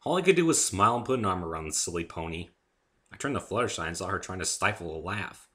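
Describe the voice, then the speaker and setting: Male narrator for dramatic first-person fiction, lower-pitched, lively intonation. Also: fast. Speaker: author reading own story.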